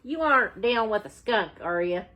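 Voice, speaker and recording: A woman voicing a brisk female animal control officer from a children's storybook, firing off questions. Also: mid-range voice, lively intonation, pushed and bright, voice pushed up. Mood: puzzling it out.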